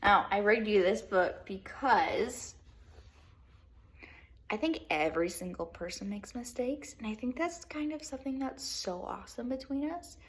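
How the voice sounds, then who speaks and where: A woman teacher reading a storybook aloud to children in an animated way, pausing to put questions to her young listeners. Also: mid-range voice. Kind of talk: expressive children's read-aloud, speaking to young pupils.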